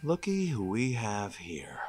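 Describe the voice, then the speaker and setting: A man's voice: on the low side, sing-song, slow pace, darkish tone, soft background music, full character voice. A man playing a cocky, wisecracking young superhero with a breezy, sardonic manner, in a comedic script read. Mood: swaggering, wry.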